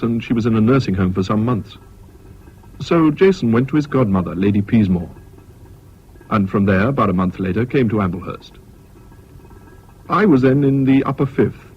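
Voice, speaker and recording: An actor as a measured, wry English narrator, giving scripted first-person narration in a radio drama. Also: male voice, fairly low pitch, a little mellow, natural voice. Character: measured, wry male narrator recalling schooldays. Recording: dull, hissy old radio recording.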